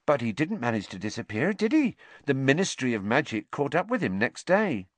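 A man's voice: lowish voice, big pitch swings, moderate pace, a bit bright, husky, dry recording, voice pushed up, heavily acted. A man voicing an eager, gossiping landlady in a storybook reading. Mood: satisfied.

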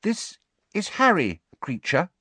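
Man putting on an earnest, high-pitched teenage girl. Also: wildly swooping pitch, slow pace, slightly rough, dry recording, exaggerated voice. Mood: tentative. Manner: tentative, pleading, softly insistent.

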